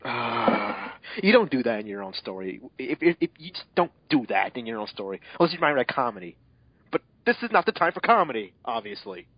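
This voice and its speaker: A man's voice: medium pitch, very animated, fairly quick, slightly rough, dry recording. Man in dull lo-fi audio, sarcastic reader. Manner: exasperated, sarcastic asides. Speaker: amateur fan fiction reader and commentator. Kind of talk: mocking dramatic reading with casual commentary.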